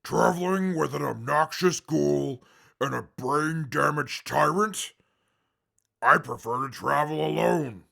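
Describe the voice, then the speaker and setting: Male actor as imperious, scornful ex-scout for radio drama: rough-edged, bright and forceful, short fuse. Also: fairly high pitch, slow pace, exaggerated voice. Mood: grudging, haughty. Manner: haughty, scornful, formal wording. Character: arrogant, short-tempered, imperious male former wasteland scout. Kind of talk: post-apocalyptic audio drama acting.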